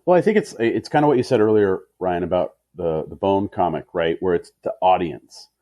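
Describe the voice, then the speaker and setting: A man's voice: sits low, expressive, fairly quick, dry recording, highs cut off. A man co-hosting a podcast, speaking in a casual, conversational discussion style. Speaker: podcast co-host.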